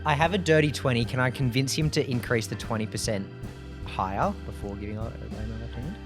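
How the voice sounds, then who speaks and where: A man's voice: mid-range voice, fairly quick. A man playing a tabletop game, chatting and bantering casually as he improvises. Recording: music clearly behind the voice.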